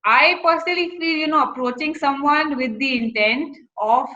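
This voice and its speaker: A woman with an Indian accent shares informal career advice as a corporate recruiter. Her voice sits fairly high and sounds very bright and crisp-edged, and she mixes Hindi phrases into her English. The audio is slightly dull and lo-fi. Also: moderate pace.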